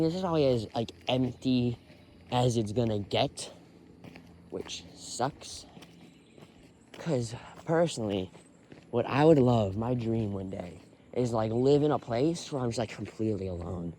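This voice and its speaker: A man's voice: mid-range voice, dark tone, a little hiss or hum. A young man rambling on a solo walk with many fillers and sighs, recorded on a phone in his pocket outdoors. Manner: rambling, filler-heavy, sighing often. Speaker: vlogger. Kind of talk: rambling walking vlog. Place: outdoors on a quiet road.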